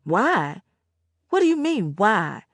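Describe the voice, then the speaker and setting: A woman voicing a calm, casual young woman who speaks evenly and matter-of-factly in an audiobook. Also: mid-range voice, takes it slowly, dry recording, studio quality, full character voice. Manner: flat, even, matter-of-fact. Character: cool, casual young woman, calmly unrepentant. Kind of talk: audiobook character voice.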